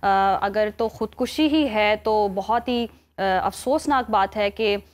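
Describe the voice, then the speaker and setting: A woman's voice: mid-range voice, slow pace. A woman with a Pakistani accent hosting a news programme, putting questions and commenting in a live exchange. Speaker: news show host.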